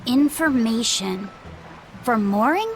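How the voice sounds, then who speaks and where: A woman's voice: fairly high pitch, animated, takes it slowly, a little hiss or hum. A woman playing a polite, naive young female exorcist in a game, earnest in manner. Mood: curious. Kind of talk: animated game voice acting.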